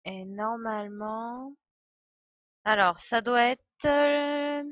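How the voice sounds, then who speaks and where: A woman's voice: a little high, extremely slow, dry recording, lo-fi sound. A woman, a game streamer commenting casually and thinking aloud with hesitations. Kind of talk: casual live commentary and explanation. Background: game music.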